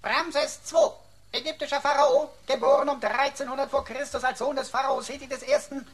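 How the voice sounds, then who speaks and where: A high, chirpy-pitched talking pocket computer played by a woman in a sci-fi radio play. It is a cheeky, mocking know-it-all that rattles on with mock grandeur. Faint noise sits behind the voice. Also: steady tone, normal speed, close to an everyday voice. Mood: lecturing. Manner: mocking, long-winded, declaiming with mock pomp. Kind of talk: German radio play acting.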